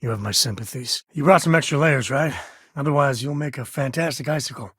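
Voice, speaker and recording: An actor playing an easygoing, wry spacefaring cowboy and devoted father in a video game, with a husky voice and folksy, drawling, dryly sarcastic phrasing. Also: mid-range voice, a little fast, a bit bright, no echo. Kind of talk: video game character dialogue.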